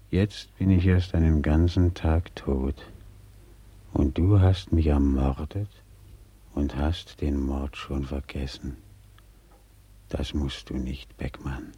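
An actor playing a drowned man's reproachful ghost in a 1940s radio drama, speaking deliberately and quietly accusing. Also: exaggerated voice. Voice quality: very deep, husky, breathy at times. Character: drowned one-legged man, a slow reproachful ghost. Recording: old 1940s radio recording.

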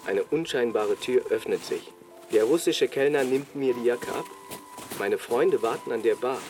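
Male narrator over clear music. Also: mid-range voice, moderate pace, a little mellow. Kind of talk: scripted first-person narration in short sentences. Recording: prominent music under the voice.

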